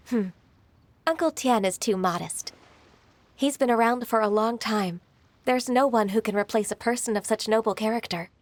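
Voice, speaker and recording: Woman acting a polite, higher-pitched gentle young lady. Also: moderate pace, long pauses, no echo, lightly acted. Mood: respectful. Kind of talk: video game character acting. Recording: faint music behind.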